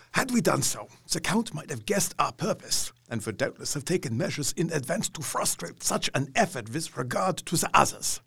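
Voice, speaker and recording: An earnest, learned older professor, played by a man in a radio drama. He reasons step by step in slightly broken English, in a gravelly, husky voice with a lively rise and fall. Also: mid-range voice, heavily acted. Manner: earnest, reasoning, foreign grammar.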